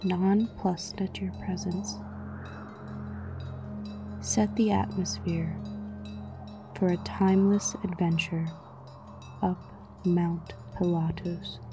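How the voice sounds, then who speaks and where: A woman narrating a scripted guided meditation in a soothing, measured way with frequent pauses, her voice soft and breathy, often near a whisper. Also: on the low side, fairly even intonation. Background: music.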